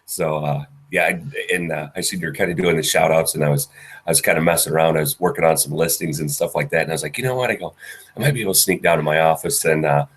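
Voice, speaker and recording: A man's voice: extremely low pitch, steady tone, fast, slightly rough. An older man with a cold and a nasal voice, chatting easygoing as a guest on a live stream over a video call. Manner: easygoing, repeats himself. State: congested with a cold. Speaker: guest reseller. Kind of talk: casual live-stream chat.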